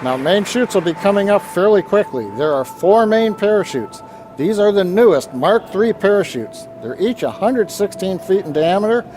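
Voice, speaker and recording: A man's voice: sits high, lively intonation, normal speed, a little mellow, faint music behind. A man giving live technical commentary as part of a launch broadcast.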